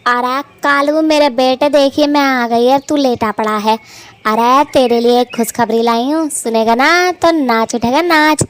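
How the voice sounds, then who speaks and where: A woman voicing a domineering older mother-in-law in a cartoon, scolding with sharp taunts. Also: sits high, steady tone, a little slow, a little hiss or hum. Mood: bossy. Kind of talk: Hindi cartoon voice acting.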